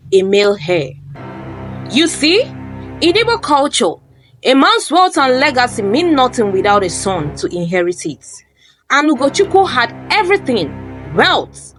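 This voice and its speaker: A woman narrates a folktale as a scripted dramatic story. Her voice sits fairly high and moves with very lively intonation, with faint music underneath.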